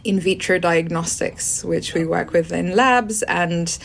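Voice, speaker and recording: A woman's voice: fairly low pitch, slow, vocal fry, slight background noise. Swedish woman with a British accent, hesitant. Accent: British English. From Sweden. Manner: hesitant, many ums and restarts. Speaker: guest. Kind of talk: conversational interview answers.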